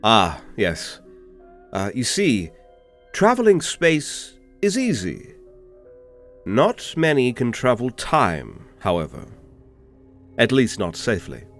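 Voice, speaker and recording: A man playing a refined, polite, world-weary information dealer with theatrical, hesitant pauses in a fantasy audio roleplay. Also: medium pitch, wildly swooping pitch, fairly bright tone, faint music behind, heavily acted. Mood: explanatory.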